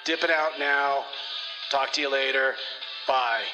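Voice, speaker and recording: Male actor as laid-back, excitable buddy leaving a message, medium pitch, music behind, radio comedy. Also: unhurried, lo-fi sound, close to an everyday voice. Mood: casual urgency. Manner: casual, chummy.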